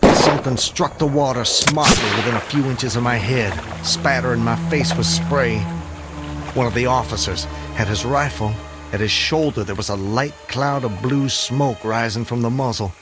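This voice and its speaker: A man's voice: mid-range voice, normal speed, close to an everyday voice. An actor playing an earnest, haunted man who escaped his hanging, recounting it in formal, vivid language for an audio drama. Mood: grave, recounting. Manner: literary, vivid, formal narration. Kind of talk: audio drama monologue and dialogue. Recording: music under the voice. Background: music.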